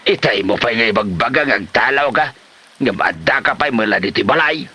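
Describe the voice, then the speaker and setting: A forceful, bright-voiced old Ilocano husband played by a male actor, stubborn and always taunting. His pitch swings widely as he bickers and exclaims, in the manner of radio comedy drama. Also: expressive, normal speed, husky, exaggerated voice.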